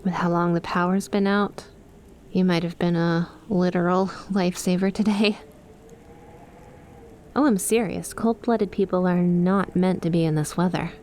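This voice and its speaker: Lady doing a lamia bestie for asmr roleplay, lowish voice, teasing and sweet, unhurried, noisy background. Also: fairly even intonation, a little mellow, lightly acted. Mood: sincere, chatty. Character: playful, affectionate young lamia snake-girl best friend. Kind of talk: ASMR roleplay, addressed to the listener.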